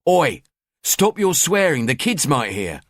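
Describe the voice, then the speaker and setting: A man's voice: on the high side, expressive, normal speed, no echo, studio quality, voice pushed up, close to an everyday voice. A man voicing a nagging middle-aged wife with an East London accent, sarcastic and scolding.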